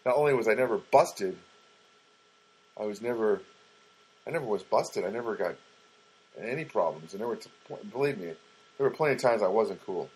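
Middle-aged man, husky voice, rambling wry storyteller, casual. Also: fairly low pitch, animated, a little fast, pauses often, poor audio. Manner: rambling, wry, acts out quoted lines.

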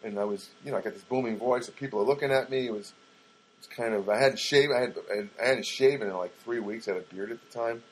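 A man's voice: mid-range voice, fast, husky, poor audio. A middle-aged man casually telling a rambling, wry personal story and acting out what people said. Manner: rambling, wry, acts out quoted lines.

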